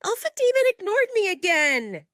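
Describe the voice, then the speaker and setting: A woman's voice: extremely high pitch, very animated, normal speed, fairly bright tone, dry recording, studio quality, pitched above the performer's own voice, heavily acted. A woman voicing a whiny, spoiled young she-wolf daughter in an audio drama, pouting and exaggerated. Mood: whiny, sulking.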